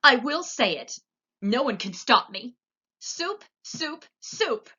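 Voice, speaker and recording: A woman reading aloud and voicing a stout, weeping castle cook who wails in a high, loud, slow voice. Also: expressive, highs cut off. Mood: wailing. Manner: wailing, high-strung and loud.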